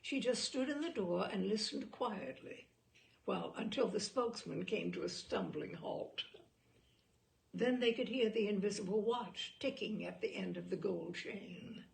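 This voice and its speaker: Gravelly, expressive woman reading literature aloud. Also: mid-range voice, poor audio. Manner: deliberate, dramatic phrasing with pauses. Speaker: storyteller reading a short story aloud. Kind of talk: expressive literary audiobook narration.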